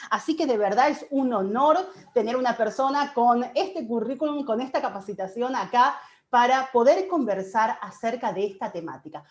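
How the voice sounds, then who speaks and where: Argentine woman host, slightly high voice, friendly chatty questions. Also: lively intonation, normal speed. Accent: Argentine Spanish. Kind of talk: interview hosting.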